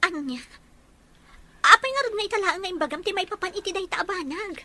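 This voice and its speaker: A woman's voice: very high-pitched, expressive, fairly quick, pushed and bright, a little hiss or hum, full character voice. A woman playing an earnest, caring young fiancée in an audio drama. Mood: hurt, insistent. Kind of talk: Ilocano audio drama acting.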